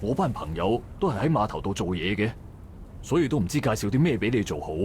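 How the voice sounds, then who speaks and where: An actor playing a calm, steady male dockworker who gently reassures a friend in a visual novel. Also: on the low side, fast, noisy background. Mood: gentle reassuring. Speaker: game character. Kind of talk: voiced visual novel dialogue.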